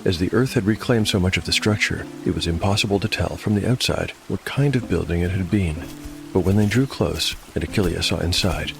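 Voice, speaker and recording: A man with a low voice reads as narrator and host of a fantasy audio show. His delivery is measured and articulate, with music clearly underneath. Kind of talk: scripted dark fantasy narration.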